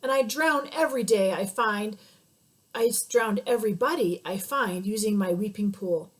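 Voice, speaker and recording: A woman's voice: normal speed, natural voice. Woman putting on a sad old rhinoceros. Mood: gloomy. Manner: loud, deep-acted, weepy grumbling. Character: old sad male rhinoceros, gloomy and self-pitying.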